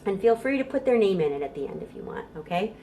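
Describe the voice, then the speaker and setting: A woman's voice: mid-range voice, very animated, fast talker, dark tone, slight background noise. A middle-aged American woman leading a storytime for babies as a children's librarian, giving instructions and reciting rhymes in a sing-song, encouraging way.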